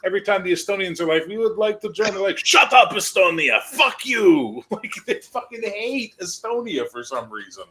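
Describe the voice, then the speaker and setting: Guy on a stream rambling and cussing, kinda high voice. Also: animated, moderate pace, hardly any pauses, bright, forceful tone. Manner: rambling, profane, jokey. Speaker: stream host. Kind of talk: casual chat.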